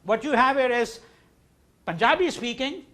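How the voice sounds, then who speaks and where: A man giving a university lecture in a hall, his voice high and animated with wide swings in pitch. His first language is Hindi. He repeats key words for emphasis and answers his own questions. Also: normal speed. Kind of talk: classroom lecture.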